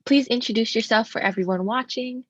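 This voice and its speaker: A woman's voice: fairly high pitch, fairly quick, a bit bright, no room sound, lo-fi sound. A woman interviewing a guest, asking casual questions and rephrasing them with "like".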